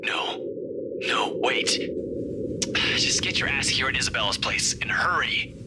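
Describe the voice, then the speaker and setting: A man's voice: medium pitch, expressive, slow, heavy background noise. An actor playing an impatient, bossy young man on a phone call in a visual novel. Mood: exasperated. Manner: exasperated, terse.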